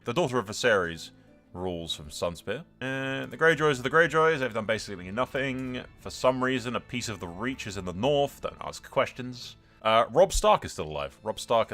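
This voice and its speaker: Bright-voiced man doing casual game commentary. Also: on the low side. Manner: informal, wry asides. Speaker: host of a gaming channel.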